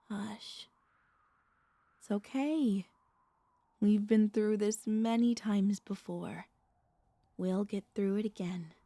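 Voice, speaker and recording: Possessive vampire mistress for ASMR roleplay, played by a woman: breathy half-whispers, mellow and unhurried, soothing but commanding. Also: mid-range voice, no room sound. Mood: soothing, hypnotic. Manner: slow, coaxing, commanding hypnotic delivery. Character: possessive, soothing female vampire mistress hypnotizing her pet.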